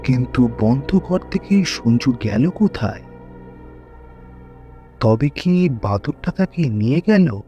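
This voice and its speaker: A man's voice: medium pitch, expressive, takes it slowly, pauses often, dark tone, husky, dull audio, soft background music. A man reading a horror story as dramatic scripted narration.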